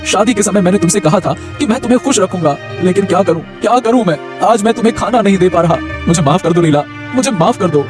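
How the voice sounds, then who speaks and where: A man's voice: sits high, lively intonation, fast talker, few pauses, music in the background. A man voicing a poor, meek village husband in a cartoon, hesitant, apologetic and pleading, often repeating his phrases. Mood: despairing, apologetic. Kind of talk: melodramatic Hindi cartoon voice acting.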